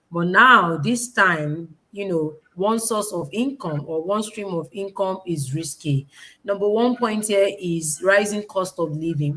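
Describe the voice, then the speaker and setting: A woman's voice: lowish voice, fairly bright tone, poor audio. Emphatic woman presenting online. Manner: emphatic, repeats phrases, asks rhetorical questions. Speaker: online presenter, business owner and educator. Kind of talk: informal online business presentation.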